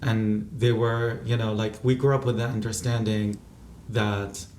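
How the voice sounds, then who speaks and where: A man in his thirties from Qatar speaks in a lowish voice, pausing often and restarting his sentences. The sound is roomy, with faint ambience behind him. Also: level tone, a little mellow, a little hiss or hum. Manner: thoughtful, halting, many fillers and restarts. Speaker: interview guest, physician and activist. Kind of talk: reflective interview answers.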